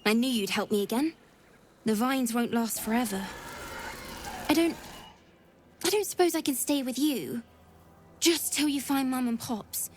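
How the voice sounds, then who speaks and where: A woman playing a plucky, earnest young tiefling girl in a video game, talking in an informal, halting, childlike way. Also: fairly high pitch, a little hiss or hum, close to an everyday voice. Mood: hopeful, grateful. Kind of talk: video game character dialogue.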